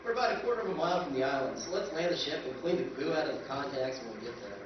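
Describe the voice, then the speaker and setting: A man's voice: fairly high pitch, lively intonation, rapid-fire, background noise, natural voice. A man playing an emphatic, exasperated space adventurer in a live recreation of a 1950s radio science-fiction play. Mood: practical. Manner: emphatic, indignant. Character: exasperated, blustering male space adventurer and narrator. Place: convention performance room, picked up from afar. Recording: echoey convention hall, distant muffled sound.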